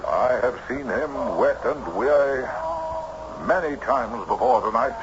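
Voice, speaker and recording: An actor playing an eerie country tale-teller in a vintage radio drama, with deliberate old-fashioned phrasing. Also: male voice, mid-range voice, expressive, highs cut off. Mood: ominous, confiding. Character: loquacious male country teller of eerie tales, weary traveler. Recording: noisy band-limited old radio recording.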